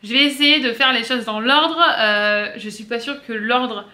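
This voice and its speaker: A woman from south-east France with a French accent, giving casual vlog advice in a chatty, halting way with frequent self-corrections. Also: fairly high pitch, pushed and bright. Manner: chatty, hesitant, self-correcting with many pauses. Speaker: vlogger. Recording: roomy echo.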